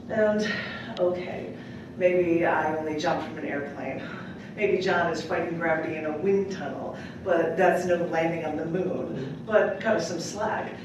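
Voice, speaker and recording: A woman poet reading her work aloud at a live open mic, with deliberate, dramatic phrasing and casual asides. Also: on the low side. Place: public library room at a live event. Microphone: distant microphone. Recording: echoey room from far off, clearly noisy.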